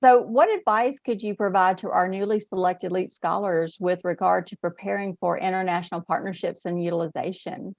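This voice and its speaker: A woman's voice: on the low side, steady tone, brisk, gravelly, few pauses, no echo. A woman hosting an interview from a script in a polite, formal manner, heard through muffled audio. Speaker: interview host.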